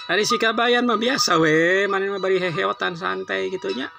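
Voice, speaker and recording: A man's voice: high voice, steady tone, unhurried, vocal fry. A man with a Sundanese accent telling a folktale informally, in a chatty, conversational way. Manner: chatty, conversational, frequent tag words. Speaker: storyteller. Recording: loud music under the voice. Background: loud music.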